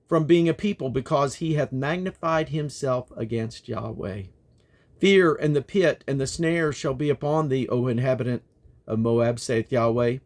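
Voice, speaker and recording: A man with a moderately high-pitched voice reads old-fashioned scripture aloud. His delivery is solemn and declamatory, set out in measured phrases. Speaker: Bible reader. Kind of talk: reading scripture aloud, King James text.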